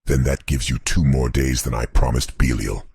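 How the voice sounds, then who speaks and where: A man voicing Satan as an enraged, paranoid tyrant demon king with a gravelly voice, speaking slowly and imperiously in an audiobook. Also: steady tone, a little mellow, no echo, clean studio sound, full character voice. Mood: commanding. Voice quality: very deep, gravelly, husky. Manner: slow, imperious, threatening.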